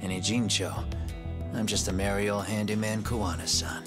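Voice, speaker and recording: An actor playing a calm, wry fugitive handyman in a game dub, composed and sardonic. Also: lowish voice, normal speed, music in the background, natural voice. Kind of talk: English video game dub acting.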